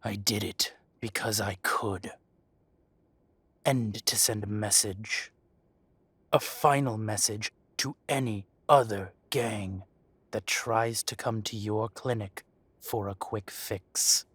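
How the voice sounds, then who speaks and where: A man acting as a possessive dragon shifter mafia boss in a roleplay audio drama, speaking with mock politeness and deliberate, taunting menace. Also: lowish voice, fairly bright tone, slightly rough, no echo, full character voice. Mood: cold possessive. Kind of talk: scripted roleplay audio drama, addressing the listener.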